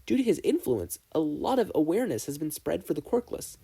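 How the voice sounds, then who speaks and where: A man's voice: fairly high pitch, very animated, brisk, gravelly, warm tone, slightly rough, voice pushed up, full character voice. A man voicing an earnest, idealistic teenage boy student in an audiobook, arguing emphatically. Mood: excited. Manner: emphatic, argumentative.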